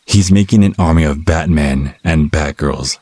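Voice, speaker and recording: Casting: male actor for cunning vampire captive, ASMR roleplay. Rumbling bass, dry close sound, taunting and mock-polite. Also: normal speed, mellow, no room sound. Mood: sardonic, explaining. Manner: sardonic, mock-polite, teasing with pet names. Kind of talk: ASMR roleplay audio drama.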